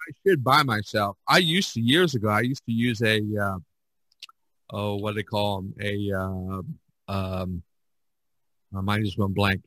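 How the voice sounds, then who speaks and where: A middle-aged man chatting casually as a podcast host, rambling and halting as he searches for words. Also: on the low side, sharp tone, dry recording, rough recording. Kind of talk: casual chat.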